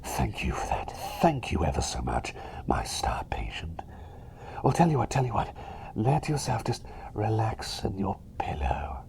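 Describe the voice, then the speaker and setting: A man playing a cheery, eccentric, gentle plague doctor in a whispered, rambling and soothing ASMR roleplay. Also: on the low side, wildly swooping pitch, a little slow, gravelly, heavily acted. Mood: soothing, tender.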